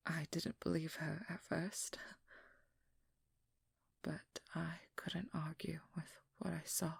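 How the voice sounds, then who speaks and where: A woman playing a guarded, protective young vampire hunter in a hushed, breathy ASMR roleplay, speaking slowly with halting pauses close to the mic. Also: sits low, level tone, dry recording, exaggerated voice. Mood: wistful, pained. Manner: slow, halting, intimate delivery with pauses. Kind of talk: whispered ASMR roleplay, dramatic monologue. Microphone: close microphone, dry.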